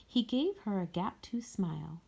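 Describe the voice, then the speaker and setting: A woman's voice: mid-range voice, expressive, a little slow, vocal fry, warm tone, slightly rough. A woman reading a storybook aloud as a scripted narration. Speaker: story reader.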